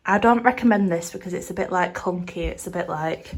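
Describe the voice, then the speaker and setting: A woman's voice: fairly low pitch, brisk, slight background noise. A woman, nasal and stuffed up from a cold, chatting casually as she tours her small home art studio, rambling with plenty of filler words. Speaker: artist vlogger. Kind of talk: casual studio tour vlog.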